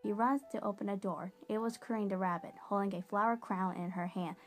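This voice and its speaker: A woman's voice: medium pitch, steady tone, a little fast. A woman reading scripted story narration aloud as a narrator. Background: music.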